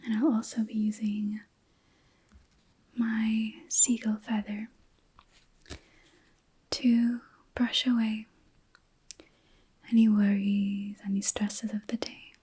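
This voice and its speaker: A woman hosting an ASMR reiki session in a soft, breathy whisper, gentle and soothing, with unhurried pauses. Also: a little high, little pitch movement. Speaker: ASMR host and reiki practitioner.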